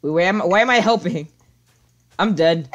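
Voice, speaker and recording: A young woman chatting casually with a friend while livestreaming a game, playful and quick to react. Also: lowish voice, lo-fi sound, a little hiss or hum. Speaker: streamer playing a game. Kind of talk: casual gaming chat.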